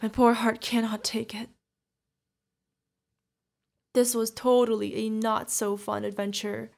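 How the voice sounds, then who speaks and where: A woman's voice: fairly high pitch, completely flat delivery, slow, gravelly, darkish tone. A woman playing a fierce yet tender witch mentor who is protective of an adopted pupil, in an emotional fantasy audio roleplay. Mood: relieved, teasing. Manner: emotional, commanding, affectionate, pleading. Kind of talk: ASMR fantasy roleplay acting.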